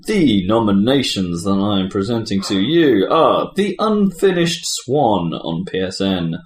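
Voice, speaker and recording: A man hosting a casual podcast discussion, thinking aloud in halting, emphatic phrases. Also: fairly low pitch, very animated. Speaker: podcast host presenting award categories. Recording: roomy, faint background noise.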